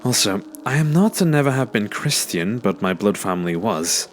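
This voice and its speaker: A man's voice: lowish voice, very animated, normal speed. A man reading a first-person scary story aloud as a scripted narration. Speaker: narrator reading submitted ghost stories. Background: music.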